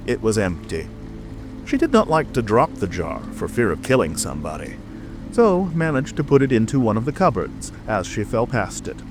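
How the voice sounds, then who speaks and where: Male narrator, animated intonation, mid-pitched, dramatic storybook reading, music behind.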